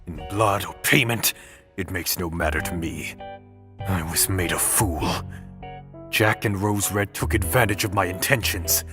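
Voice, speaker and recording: A man's voice: deep voice, a little slow, over music. A man playing a proud, vengeful lord in an audio drama, speaking in a formal, indignant manner. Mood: aggrieved, cold.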